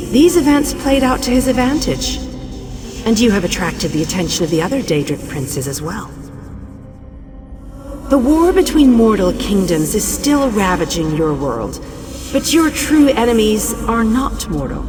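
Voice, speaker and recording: A commanding goddess, rather high in pitch and set in long hall reverb. Each phrase is grave, stately and formal, as in a fantasy game monologue, with music clearly behind it. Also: female voice. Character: solemn, commanding goddess addressing a mortal hero. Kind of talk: video game dramatic monologue.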